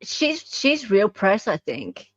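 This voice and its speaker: A woman's voice: medium pitch, wildly swooping pitch, slow, a bit bright, no room sound. A woman co-host chatting casually, breaking in with short, incredulous questions and reactions. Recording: slightly dull, lo-fi audio.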